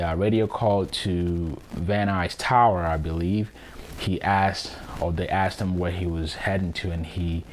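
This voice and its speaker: A man's voice: low voice, slight background noise. A man giving informal, instructive commentary as a vlogger who flies planes himself, explaining things to his viewers. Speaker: vlogger and pilot explaining air traffic audio.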